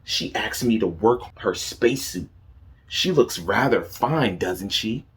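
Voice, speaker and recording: A man's voice: on the low side, animated, takes it slowly, fairly bright tone. A man voicing a snooty male barnyard bird in a picture book, haughty and clipped. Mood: boastful.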